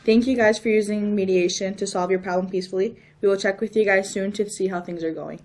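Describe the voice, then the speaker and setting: A firm, formal teenage girl mediating a dispute played by a female student. Her pitch is average for a young woman and her manner is procedural. Some low room noise is audible. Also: fairly even intonation, fast talker, darkish tone, close to an everyday voice. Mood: businesslike. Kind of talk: scripted role-play dialogue. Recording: noisy room ambience.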